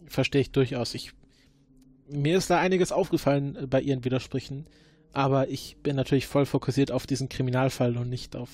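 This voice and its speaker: A man playing a formal time-travelling agent who questions a suspect in halting, filler-laden speech during an improvised roleplay. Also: mid-range voice, steady tone, darkish tone, close to an everyday voice. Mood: probing. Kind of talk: improvised murder-mystery roleplay.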